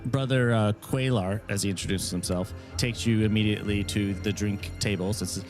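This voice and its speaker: A man running a tabletop game as game master, setting scenes in a steady, descriptive way. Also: on the low side, normal speed, music in the background.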